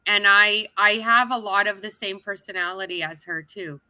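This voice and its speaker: A woman's voice: medium pitch, normal speed, pushed and bright, no room sound, rough recording. A woman from Canada, talking as an interview guest in a chatty, upbeat way. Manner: chatty and upbeat, with frequent restarts and laughs. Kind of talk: casual interview chat.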